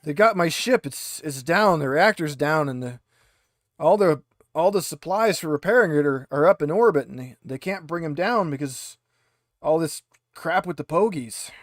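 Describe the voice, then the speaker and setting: A man's voice: fairly high pitch, animated, normal speed, no room sound, studio quality, natural voice. A man voicing a drunk, grumbling female pilot at a bar in a tabletop game, hesitant and boozy. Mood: tipsy, griping.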